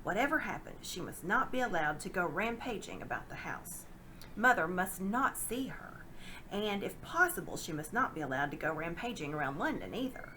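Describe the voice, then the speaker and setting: Woman reading a kids book out loud, dramatic, bright voice, bit of noise behind. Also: lowish voice, normal speed, poor audio. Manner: dramatic, expressive. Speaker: storybook reader. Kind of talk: expressive read-aloud of a children's novel.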